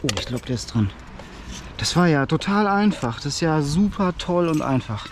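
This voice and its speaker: Chatty guy in his 30s talking while cycling, kinda high voice, loud wind noise on the mic. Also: animated, moderate pace. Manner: chatty, upbeat, thinking aloud. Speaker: cycling travel vlogger. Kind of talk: casual vlog narration while riding. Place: outdoors on a riverside bike path. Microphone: action camera mounted on the bike.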